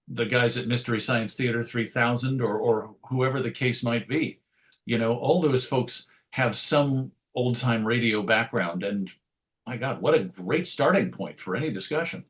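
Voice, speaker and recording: A middle-aged American man telling stories as an interview guest, discursive, self-deprecating and enthusiastic about his subject. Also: mid-range voice. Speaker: interview guest, radio show host. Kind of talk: reflective conversational interview storytelling.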